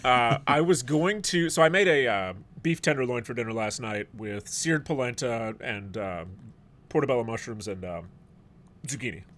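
A man's voice: mid-range voice, expressive, moderate pace, fairly bright tone. A middle-aged man hosting a podcast in casual chat, a bit stuffed up and sniffly, rambling and joking. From United States. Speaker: podcast host.

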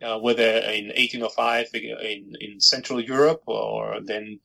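Middle-aged man from Georgia, native Georgian speaker, thoughtful historian guest, bright gravelly tone. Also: fairly low pitch, level tone, a little slow, low-quality recording. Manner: thoughtful, many fillers and restarts. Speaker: historian and author. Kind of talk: podcast interview answers.

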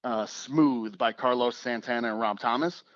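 A man with a slightly raspy voice, a radio producer chatting casually as a guest, relaxed and joking with fillers and restarts. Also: medium pitch, normal speed, no echo, highs cut off. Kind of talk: casual interview chat.

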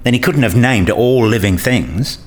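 A man's voice: medium pitch, normal speed, close to an everyday voice. A man playing a curious, skeptical interviewer in a radio play, speaking in an inquisitive, articulate way. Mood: curious, probing. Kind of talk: scripted questioning dialogue in a radio play.

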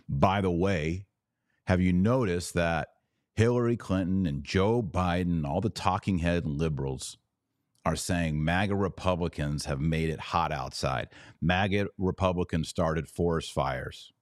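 A man hosting a podcast, giving sarcastic, animated commentary and now and then leaping to high, incredulous exclamations. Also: no room sound, studio quality. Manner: slow deliberate Latin prayer recitation. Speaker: podcast host.

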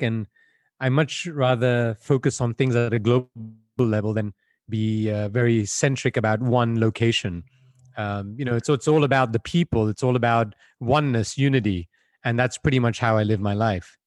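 A man's voice: mid-range voice, moderate pace, darkish tone, no echo, studio quality. A man from Belgium, a guest on an interview, answering in a reflective, conversational way with frequent fillers over a video call.

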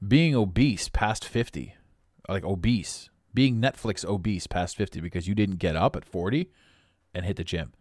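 A middle-aged man with a raspy voice, hosting a podcast with a blunt, preachy motivational monologue. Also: deep voice, darkish tone, no room sound, studio quality. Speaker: podcast host.

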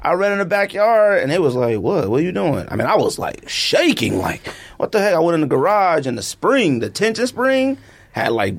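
Lively, high-voiced man telling stories. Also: normal speed. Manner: animated, slangy storyteller. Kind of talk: casual chat and storytelling.

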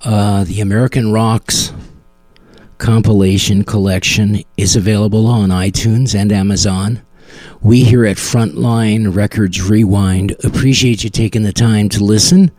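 A man's voice: lowish voice, darkish tone, no room sound. A man hosting a music podcast, introducing tracks in a conversational way. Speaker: podcast host.

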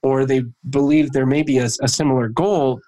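A man's voice: medium pitch, steady tone, brisk, dark tone. A man hosting an interview podcast, casually thinking aloud as he builds his questions.

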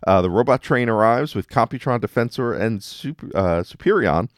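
A man's voice: mid-range voice, lively intonation, moderate pace, no room sound, studio quality. A man hosting a podcast, giving a casual, wry and playful episode recap with dramatic emphasis. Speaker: podcast host.

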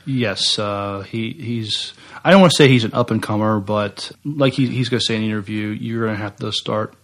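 A man co-hosting a podcast, chatting casually in hesitant, halting phrases. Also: fairly low pitch, fairly bright tone. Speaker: podcast co-host. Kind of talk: casual unscripted podcast chat.

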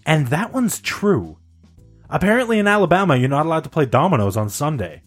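A man hosting a casual quiz game with friends, laughing often and talking in an animated, playful way. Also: fairly high pitch, a little fast, faint music behind. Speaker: quiz host. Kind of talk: casual podcast banter.

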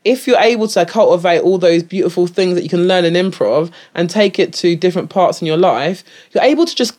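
A woman's voice: lowish voice, a little fast. This is a woman talking loosely as a podcast guest. She starts over a lot, fills gaps with ums and likes, and breaks into laughs between thoughts. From England. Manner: animated, full of restarts and fillers, laughs often. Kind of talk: casual interview chat.

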